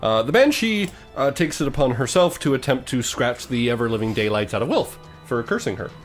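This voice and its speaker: A man's voice: medium pitch, sing-song, a little fast. Male game master with music behind. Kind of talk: improvised narration of a tabletop game.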